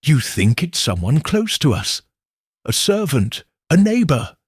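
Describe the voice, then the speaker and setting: A man voicing an eager, excitable genteel village lady in a storybook mystery. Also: on the high side, unhurried, slightly rough, no room sound, studio quality, voice pushed up, full character voice. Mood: alarmed. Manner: eager, breathlessly curious.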